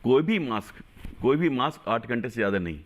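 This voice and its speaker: A man's voice: mid-range voice, expressive, brisk. A doctor giving firm advice on a call-in show, repeating his points for emphasis.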